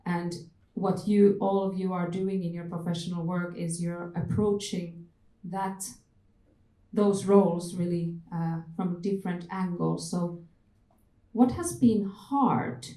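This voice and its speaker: A woman moderates a panel in an unhurried, thoughtful way. Her voice is mellow and slightly low, and the room around her is echoey. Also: fairly even intonation. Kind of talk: thoughtful panel discussion, hesitant questioning.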